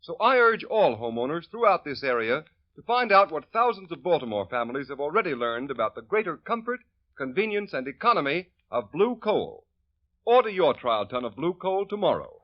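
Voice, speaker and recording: A man's voice: on the high side, very animated, normal speed. Earnest male radio announcer. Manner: earnest, persuasive salesman delivery. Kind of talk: scripted radio commercial. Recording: dull old radio recording.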